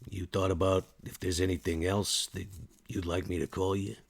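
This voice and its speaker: Man doing a gravelly, kindly old-school sewer vampire. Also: low voice, flat delivery, moderate pace. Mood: gentle, fatherly. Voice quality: gravelly, husky. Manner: folksy, hesitant, reassuring drawl.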